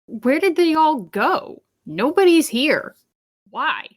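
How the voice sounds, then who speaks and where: A woman's voice: sits high, big pitch swings, takes it slowly. A woman speaking in character as a player in a tabletop game.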